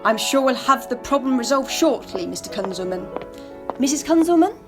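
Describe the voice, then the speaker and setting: A woman acts a polite hotel receptionist in an audio drama. Her high voice moves up and down with formal, smoothing courtesy, with music clearly behind it. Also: animated, moderate pace. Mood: polite, official. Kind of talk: amateur audio drama acting.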